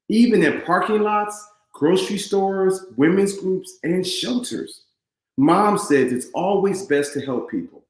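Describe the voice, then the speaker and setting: Storybook role: man as affectionate eleven-year-old mama's boy, earnest and animated, roomy echo. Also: on the high side, pitched above the performer's own voice. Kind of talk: read-aloud children's storybook.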